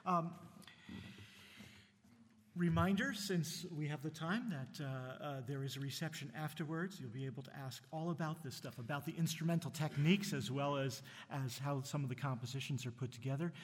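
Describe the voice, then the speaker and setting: A man from New Jersey introducing pieces at a concert, talking haltingly with many fillers and restarts, and enthusiastic about the music. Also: on the high side, a little hiss or hum. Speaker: concert host. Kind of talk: casual spoken introduction between concert pieces. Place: concert hall with audience. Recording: long hall reverb.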